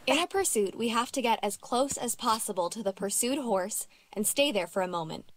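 A woman's voice: on the high side, animated, normal speed. A woman voicing a spirited teenage girl horse rider in a video game, youthful and confident. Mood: instructive.

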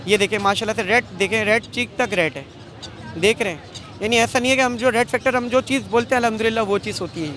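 A young man, a bird seller, pitching his birds in eager, quick patter to a vlogger at an outdoor market on a handheld mic. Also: a bit bright. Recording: noisy crowded outdoor market. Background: crowd chatter.

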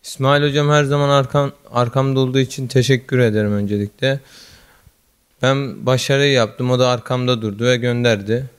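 Teenage young man, short modest answers, monotone delivery, very smooth clear voice, handheld mic. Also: medium pitch, brisk. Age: teens. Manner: brief, modest replies. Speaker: student athlete. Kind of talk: interview answers. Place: school office. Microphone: handheld mic held by interviewer.

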